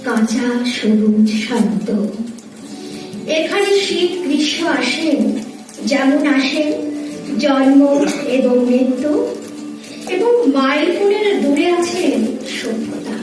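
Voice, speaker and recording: Female poetry reciter, high and unhurried, music clearly behind. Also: expressive. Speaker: recitation performer. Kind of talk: slow dramatic poetry recitation. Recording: very echoey, distant, music behind.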